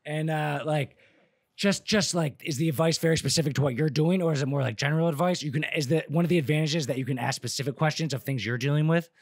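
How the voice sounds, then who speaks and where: A man's voice: fairly high pitch, fast, dry recording, studio quality. A young man hosting a podcast, asking questions hesitantly with many fillers and eager reactions. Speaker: podcast host. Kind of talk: casual interview chat.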